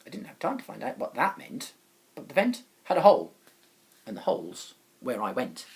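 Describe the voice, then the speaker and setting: A woman's voice: extremely low pitch, huge pitch swings, normal speed, a little mellow, a little hiss or hum. A woman reading a rhyming children's story aloud in a dramatic, emphatic manner. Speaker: storybook reader. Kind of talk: expressive rhymed storybook narration for children.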